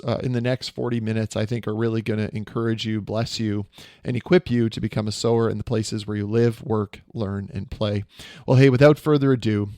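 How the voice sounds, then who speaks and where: A man's voice: mid-range voice, a little mellow, no echo. A man hosting a church podcast, delivering an upbeat, encouraging intro and leading an interview. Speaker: podcast host. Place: a home. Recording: dry close mic with faint music.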